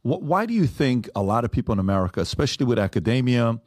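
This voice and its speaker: A man's voice: medium pitch, sing-song, fast, no room sound. A man hosting an interview, asking long, rambling questions with restarts, on a close studio mic. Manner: rambling, restarts and fillers while framing questions. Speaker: podcast host.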